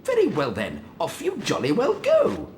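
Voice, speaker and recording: An eccentric English wizard teacher in a video game. His pitch leaps wildly as he fusses through wordy, precise instructions, over a faint rumble. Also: wildly swooping pitch, moderate pace, gravelly, full character voice. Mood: fussy, brisk. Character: fussy, pompous, eccentric schoolmaster teaching spells.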